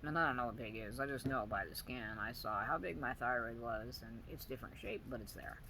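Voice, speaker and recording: Soft-voiced man with a halting delivery. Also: mid-range voice. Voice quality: weak, soft voice. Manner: halting, searching for words, many pauses and fillers. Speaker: vlogger. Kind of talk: personal video diary. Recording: audible background noise.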